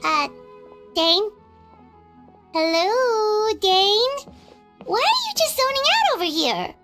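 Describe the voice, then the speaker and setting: Tiny excitable fairy girl, very high and bright, bouncy lively pitch, chatty video game sidekick. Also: slow, soft background music, exaggerated voice. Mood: puzzled. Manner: chatty, exclaiming, refers to herself by name. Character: tiny chirpy female fairy companion, excitable.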